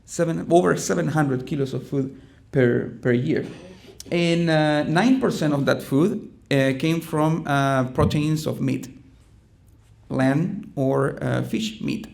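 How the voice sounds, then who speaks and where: A middle-aged man from Chile with a Chilean Spanish accent, giving a conference presentation in a halting way, with many ums and restarts. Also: on the high side, creaky, a little mellow. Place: conference room.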